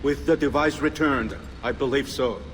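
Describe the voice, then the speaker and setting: An actor playing a calm, solemn, formal assassin mentor in a video game. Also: male voice, mid-range voice, moderate pace, slight background noise, lightly acted. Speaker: actor voicing an assassin leader. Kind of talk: cinematic video game acting.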